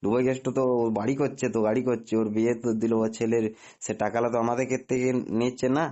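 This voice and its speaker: Male, mellow and quick, insistent rambling complainer on a phone call. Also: medium pitch, little pitch movement. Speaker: prank caller posing as phone customer. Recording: dull lo-fi phone call recording.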